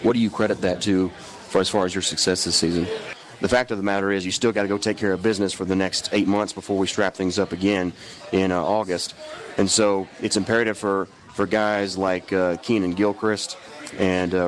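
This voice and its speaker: Male sports show host, low and level in delivery, offering analysis and interviewing about football. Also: level tone, normal speed.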